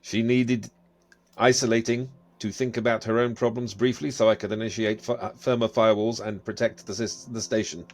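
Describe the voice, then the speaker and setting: A man playing a dutiful, calm station computer that gives clipped technical status reports in a sci-fi role-play. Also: fairly low pitch, normal speed, natural voice. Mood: procedural.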